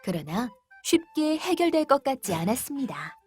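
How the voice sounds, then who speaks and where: A woman reads a children's fairy tale aloud as narrator, in a gentle, steady storytelling manner. Her voice is high, with soft music playing underneath. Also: normal speed.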